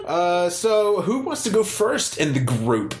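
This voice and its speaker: A man's voice: high-pitched, very animated, takes it slowly, crowd noise. A man hosting a casual podcast, jokey and rambling, with bursts of playful singing. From US South. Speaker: podcast host. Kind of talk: casual chat. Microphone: studio podcast mic.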